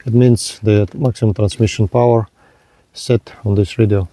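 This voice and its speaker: A man narrating a hands-on product demonstration in halting, simple step-by-step phrases. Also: fairly low pitch, fairly even intonation, warm tone, no room sound. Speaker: product reviewer.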